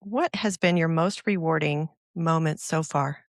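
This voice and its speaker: Woman, interview host, gravelly voice, encouraging and conversational, dry sound. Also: low-pitched, measured pace, no echo.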